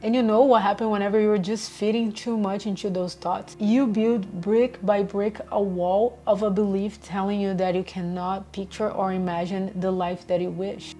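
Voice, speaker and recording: Mellow-voiced woman vlogging gently. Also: mid-range voice. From Brazil. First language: Portuguese. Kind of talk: casual reflective monologue.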